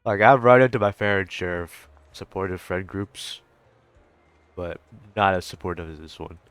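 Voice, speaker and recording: A man's voice: low voice, moderate pace, a bit bright, clean tone. A man giving casual, rambling, hesitant reaction commentary on a video game, swearing now and then. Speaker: gaming streamer reacting to a story quest.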